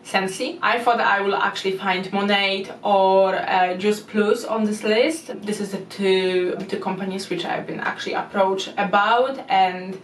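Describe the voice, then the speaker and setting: A woman's voice: medium pitch, steady tone, a little slow, hardly any pauses, recorded from a distance, slight background noise. A woman from Poland with a Polish accent, earnestly explaining a topic to her viewers in a casual monologue, her phrasing non-native and full of restarts. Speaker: YouTuber. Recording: roomy echo, speaker away from the mic.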